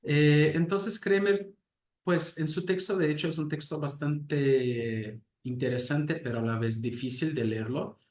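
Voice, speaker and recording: A man's voice: fairly high pitch, fairly even intonation, measured pace, a little mellow. Roomy-sounding male academic lecturer. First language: Portuguese. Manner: thoughtful, hesitant with frequent fillers, rhetorical questions. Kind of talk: academic talk. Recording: roomy echo.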